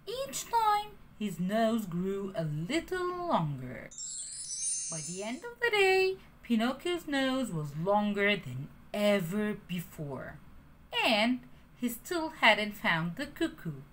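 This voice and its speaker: A woman reading a children's story aloud in an expressive, lilting way. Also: mid-range voice, takes it slowly, a little hiss or hum.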